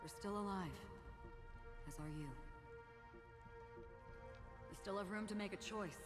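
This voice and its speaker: A woman voicing a composed, steely female ranger in a video game, calm, measured and resolute. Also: medium pitch, natural voice. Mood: calm, firm. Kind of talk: dramatic video game voice acting. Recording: under loud game music.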